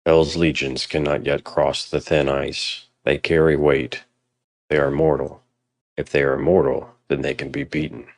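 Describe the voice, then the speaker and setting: Man acting very deep, grave Norse warrior. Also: flat delivery, dry recording. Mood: resolute. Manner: solemn, measured, firm. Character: grave, steadfast Norse warrior chieftain Sigurd. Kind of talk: radio drama acting.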